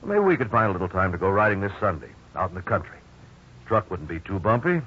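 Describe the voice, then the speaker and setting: A man's voice: low-pitched, sing-song, fast talker, slightly rough, heavily acted. An actor playing a patient, firm working-class father in a 1950s radio drama. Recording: muffled 1950s radio recording.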